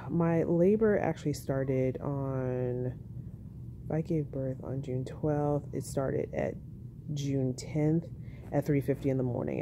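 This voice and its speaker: A young woman, a tired new mother, telling her birth story in a casual, monotone vlog at home, speaking softly with a baby on her chest. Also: slight background noise. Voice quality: monotone, low-key.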